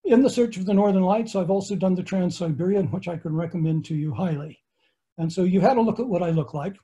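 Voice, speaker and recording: A man's voice: sits high, fast, warm tone. An older American man, an astronomer, giving a friendly slideshow lecture from his home study over a video call. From United States.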